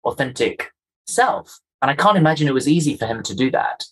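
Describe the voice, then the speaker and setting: A man from Kenya with a softened Kenyan accent, a guest telling his story in an interview with earnest, emphatic repetitions. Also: medium pitch, expressive, fairly quick, slightly rough, low-quality recording.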